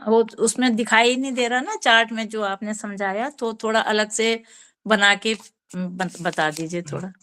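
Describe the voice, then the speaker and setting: A woman student joins an online class over a video call. She is polite and asks the teacher to explain again. Her voice is mid-pitched and plain. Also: moderate pace, fairly bright tone.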